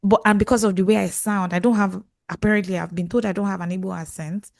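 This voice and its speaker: A woman's voice: medium pitch, quick pace, gravelly, dry recording, clean studio sound. A woman from southwest Nigeria with a Nigerian English accent, co-hosting a casual podcast and stacking up phrases as she talks. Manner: animated, self-correcting, stacks up phrases. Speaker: podcast co-host.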